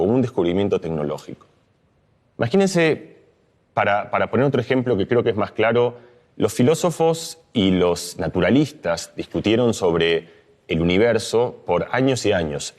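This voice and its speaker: A man's voice: sits low, darkish tone. A middle-aged man from Argentina, speaking as a neuroscientist in a reflective explanatory talk, with fillers and restarts.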